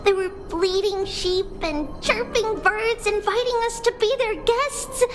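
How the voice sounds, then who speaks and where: A little girl game character, super high voice, slow and halting, sweet innocent curious, music behind. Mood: dreamy, uneasy. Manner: childlike, hesitant, halting speech. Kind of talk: video game character acting. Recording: background music under the voice.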